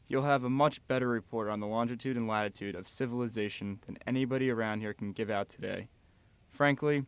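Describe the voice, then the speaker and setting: A man's voice: medium pitch, slight background noise, close to an everyday voice. An actor playing a wistful, proud middle-aged father in a radio drama, articulate, wry and formal. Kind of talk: radio drama acting, letter narration.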